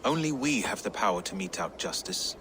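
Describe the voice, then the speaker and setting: An actor playing a wry, defiant medieval English reeve with a salty, sardonic turn of speech, in a video game. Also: male voice, on the low side, animated, a little fast, slight background noise, lightly acted. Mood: indignant, scornful. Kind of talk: dramatic video game acting.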